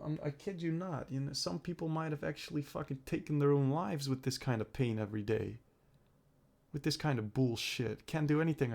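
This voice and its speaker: A man's voice: mid-range voice, vocal fry, a little mellow. A young man recovering from a cold, rambling and swearing as he thinks aloud in a personal video diary. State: recovering from a cold, throat clearing up. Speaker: vlogger.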